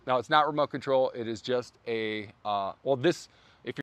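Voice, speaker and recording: Man hosting a rambly, hoarse livestream. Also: mid-range voice, moderate pace, no echo. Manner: rambling, lots of fillers and restarts. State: losing his voice. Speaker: brand livestream host. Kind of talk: casual livestream chat. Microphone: phone.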